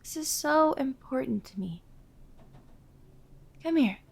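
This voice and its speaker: Woman acting high-voiced, nervously earnest young girlfriend. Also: lively intonation, slow pace, gravelly, exaggerated voice. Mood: reassuring, tender. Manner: hesitant, pleading, with sighs and nervous laughs. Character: nervous, earnest young woman introducing her ghost family to partner. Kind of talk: intimate audio roleplay acting.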